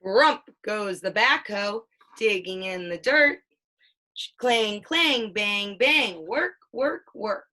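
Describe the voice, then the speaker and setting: A mother reading a children's picture book aloud, with sing-song storytelling and drawn-out sound words. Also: medium pitch, expressive, pushed and bright. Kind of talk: children's read-aloud with questions.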